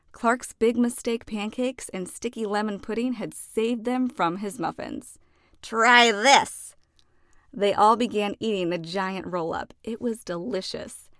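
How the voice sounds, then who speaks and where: A woman narrating a children's storybook expressively, with deliberate phrasing and dramatic emphasis. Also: on the high side, no echo, studio quality. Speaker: children's book read-aloud narrator.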